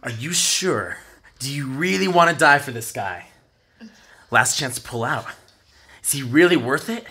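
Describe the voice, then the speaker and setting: A man's voice: medium pitch, lively intonation, measured pace, pauses often, sharp tone, breathy edge, heavily acted. An actor playing a cruel, cynical male captor in an audio drama, preaching at and mocking his victims with menace. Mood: cold menace.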